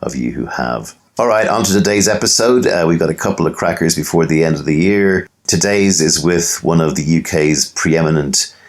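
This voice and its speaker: Very low-pitched Irish man hosting a podcast. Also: lively intonation, moderate pace. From Dublin, Ireland. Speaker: podcast host. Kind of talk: casual podcast introduction and interviewing.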